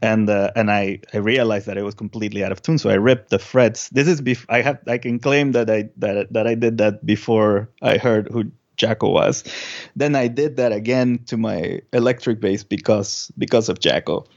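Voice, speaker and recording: A male musician from Peru who grew up speaking recounts things in a relaxed interview. His voice sits on the low side and he feels his way through sentences haltingly. The sound is a little dull and lo-fi. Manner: halting, thinking aloud. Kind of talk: casual interview storytelling.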